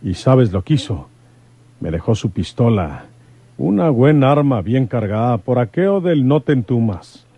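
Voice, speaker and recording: A man's voice: medium pitch, sing-song, unhurried, mellow, husky, dry recording, full character voice. An actor playing a folksy, brave rural lawman in a radio serial, with a Mexican accent and rustic colloquial speech. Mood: fatherly, confident. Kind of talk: old-time radio serial acting.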